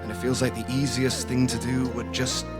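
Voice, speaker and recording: An actor playing an earnest, wistful narrator in an audio drama monologue, speaking in a reflective, deliberate way. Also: male voice, mid-range voice, little pitch movement, over loud music, close to an everyday voice. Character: earnest, wistful male narrator musing on being lost.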